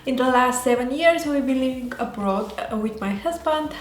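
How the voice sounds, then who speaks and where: A woman with a Bulgarian accent talking casually as a vlogger, in a halting way that often pauses mid-sentence. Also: sits high, expressive. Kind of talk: casual talk to camera. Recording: roomy echo, faint music behind.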